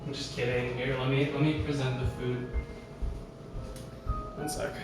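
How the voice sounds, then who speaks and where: A man's voice: mid-range voice, flat delivery, a little slow. A man casually chatting on a livestream from his home kitchen, with a playful, teasing, joking manner. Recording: echoey room, music behind.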